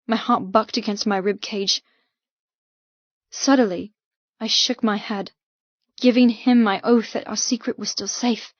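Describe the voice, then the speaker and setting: A woman's voice: medium pitch, steady tone, unhurried, pauses often, dry recording, dull audio. Intense woman narrating fiction, dry sound. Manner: intense, emotive. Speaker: audiobook narrator. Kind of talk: dramatic first-person fiction narration.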